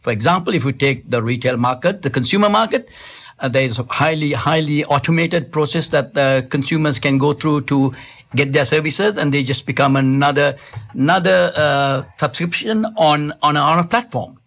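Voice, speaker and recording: A man's voice: a little high, moderate pace, gravelly, a bit bright. A middle-aged man from Sri Lanka, a company founder answering interview questions in a studio, hesitant and full of restarts. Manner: hesitant, many restarts and fillers. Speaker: telecom company founder, guest.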